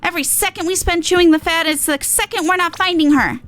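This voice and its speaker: A woman voicing a brash, upbeat young pop star from a video game, slangy, punchy and excitable. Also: very high-pitched, quick pace. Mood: determined, caring. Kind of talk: character reading of video game dialogue.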